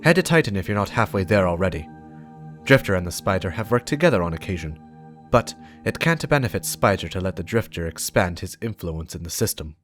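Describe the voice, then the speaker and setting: A low, animated voice over music: a man acting a stern sci-fi investigator dictating secret reports. The delivery is clipped, resolute and hard-boiled, like a dramatic reading of game lore. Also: a little fast. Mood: businesslike, brooding. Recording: music behind the voice.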